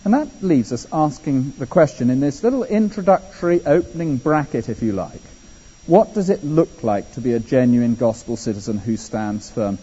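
An English man delivers a sermon with lively swings in pitch and a conversational touch. His voice is husky and mellow. The recording is muffled and rings with the long reverb of a large hall. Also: normal speed. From England. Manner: animated, conversational preaching with asides. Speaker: preacher. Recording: dull echoey hall.

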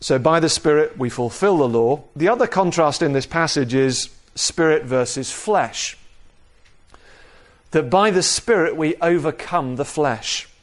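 A man preaching an expository sermon in a measured, explanatory manner, repeating key phrases for emphasis. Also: fairly high pitch, lively intonation. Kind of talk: sermon, expository Bible teaching.

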